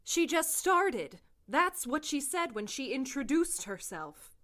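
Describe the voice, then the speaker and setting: Actress as haughty lady mayor, high voice, lively intonation, precise, lecturing, audio drama. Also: slow pace, exaggerated voice. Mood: curt. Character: prim, imperious woman mayor, fussy about manners.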